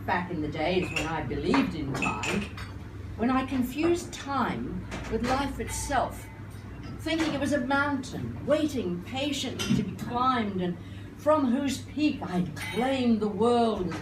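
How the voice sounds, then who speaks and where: An older woman reciting poetry live, with theatrical, wry and deliberate phrasing. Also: mid-range voice, expressive. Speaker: performance poet and singer. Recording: roomy, noisy live recording, distant mic.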